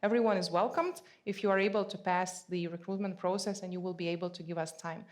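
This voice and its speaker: A woman's voice: on the low side, steady tone, fast, darkish tone. A woman hosting a live panel in an office, reading out audience questions and answering practically. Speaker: event host and recruiter. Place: office event space.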